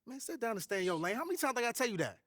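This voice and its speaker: A man's voice: extremely high pitch, big pitch swings, very fast, a bit bright, no room sound, exaggerated voice. A man playing a boastful young basketball star in a video game, swaggering and dismissive. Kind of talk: comic video game cutscene acting.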